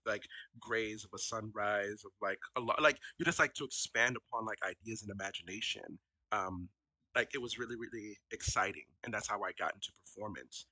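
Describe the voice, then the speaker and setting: A man's voice: on the low side, bright, forceful tone, no room sound, rough recording. A man whose first language is Haitian Creole, a guest on a podcast, telling his story in a reflective, casual way and laughing easily. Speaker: podcast guest, actor and educator. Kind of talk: casual interview conversation.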